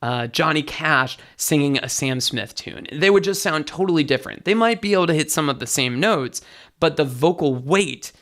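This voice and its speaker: A man with a light, bright tenor voice, a vocal coach explaining singing technique as an interview guest. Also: a little high, animated, moderate pace. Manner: enthusiastic teaching, sings short demos.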